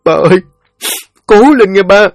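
Sex: man, voicing a woman, a narrator putting her on. Figure: grieving grown daughter in an audio story. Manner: tender, tearful, pleading. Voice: raised high, swinging widely. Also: expressive, a little slow, no echo, studio quality, faint music behind.

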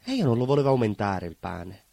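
An actor playing a simple, proud working-class baker in a stage monologue, earnest and conversational, with a southern Italian accent. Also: male voice, mid-range voice, very animated, a little fast, creaky, mellow, dry recording. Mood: nostalgic, proud. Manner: naive, earnest, emphatic, conversational. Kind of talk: theatrical monologue, colloquial storytelling.

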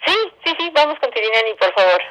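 A woman answering as an interview guest, halting as she searches for words, over a remote call. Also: fairly high pitch, expressive, a bit bright. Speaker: interview guest, theatre director. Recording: dull lo-fi radio call line.

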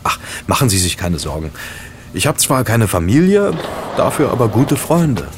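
An actor playing an easygoing young night porter in a German radio play, with a casual, colloquial way of talking. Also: male voice, lowish voice, big pitch swings, moderate pace, background noise. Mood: friendly, open.

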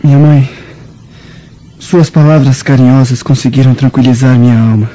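Man as mellow, brooding young Roman soldier. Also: mid-range voice, steady tone, normal speed, lo-fi sound, natural voice. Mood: earnest, resolved. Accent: Brazilian. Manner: formal, earnest. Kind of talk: radio drama acting.

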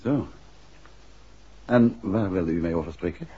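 A man's voice: deep voice, unhurried, mellow. An actor playing a composed, courteous male detective in a 1960s radio drama. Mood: calm, questioning. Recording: muffled hissy vintage radio recording.

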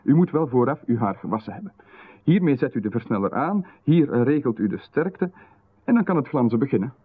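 A polite, insistent visitor who talks at length, his husky Flemish voice pitched at an everyday middle. It comes through a thin, phone-like band, like an old radio drama broadcast. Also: very animated, a little fast. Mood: smooth. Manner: polite, explaining at length with technical jargon. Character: smooth-talking male visitor, middle-aged, insistent and eerie.

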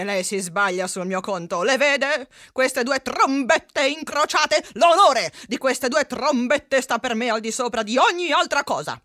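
A woman's voice: sits high, fairly bright tone, no room sound, studio quality. A woman playing a proud, easily offended veteran postman in a stage comedy, formal and pompous in manner. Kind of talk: theatrical stage-comedy acting.

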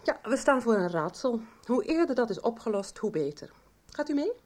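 A doctor in a nineties radio drama. She questions in a matter-of-fact way. Her pitch is a little high. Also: very animated, normal speed, a little mellow. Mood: clinical. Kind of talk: 1990s Dutch radio soap acting.

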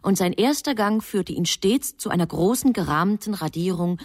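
An actress playing a composed angel who matter-of-factly narrates a human life in a radio drama. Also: female voice, mid-range voice, lively intonation, normal speed, a little mellow, no room sound, studio quality, natural voice.

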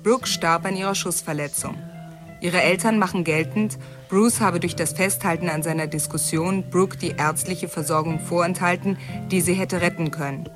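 A woman's voice: lowish voice, steady tone, normal speed, lightly acted. A woman narrating a radio drama epilogue in a formal, scripted style. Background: music.

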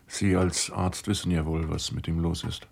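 An observant, composed guest in a radio drama. His deep voice is reflective and matter-of-fact, with slight noise behind it. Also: level tone, normal speed, creaky. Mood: curious. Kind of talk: German radio drama dialogue and narration.

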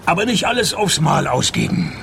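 An actor playing a wry, hard-drinking private detective, speaking in a deadpan, laconic way in a noir radio play. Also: male voice, high voice, a little fast, slight background noise, full character voice. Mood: dry, dismissive. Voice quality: husky, gravelly. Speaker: narrator and lead.

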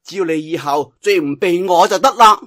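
A man's voice: high-pitched, normal speed, fairly bright tone, no room sound, studio quality. A man voicing a plump, feisty, lovesick young swordswoman in a Cantonese audiobook, scolding in an imperious, coquettish way. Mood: coaxing.

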